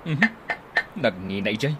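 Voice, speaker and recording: A man's voice: fairly low pitch, lively intonation, measured pace. Man acting stingy grumbling son, noisy recording. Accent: Ilocano. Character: stingy hard-drinking grown son, grumbling and defensive. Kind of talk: Ilocano radio comedy drama acting. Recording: noisy, birds and animal sounds behind.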